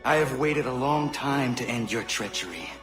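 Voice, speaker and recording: An actor playing a determined young warrior in a video game, speaking in a stiff, formal and deliberate way. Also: male voice, medium pitch, lively intonation, music in the background, lightly acted. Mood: stern, accusing. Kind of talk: cinematic video game acting.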